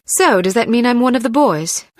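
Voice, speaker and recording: A woman's voice: a little high, lively intonation, moderate pace, dry recording, studio quality, lightly acted. A woman voicing a poised, principled young British secret agent in a video game, composed and dryly witty. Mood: polite, wry.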